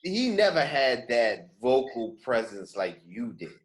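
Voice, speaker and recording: A man's voice: medium pitch, big pitch swings, a little slow. A man hosting a podcast in casual chat, speaking in a slangy, emphatic way. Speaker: podcast host.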